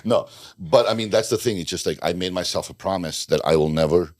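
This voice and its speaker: Very deep-voiced man, rambling chat. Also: a little fast, a little mellow, dry recording. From Bosnia. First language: Bosnian. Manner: rambling, restarts sentences, dry self-deprecating jokes. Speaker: podcast guest, former undercover FBI agent. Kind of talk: casual interview conversation.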